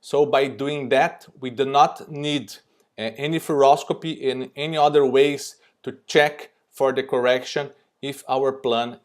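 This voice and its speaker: Brazilian man, mid pitch, halting remote conference lecture. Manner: non-native, halting with frequent fillers. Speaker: surgeon presenting. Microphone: remote recorded presentation.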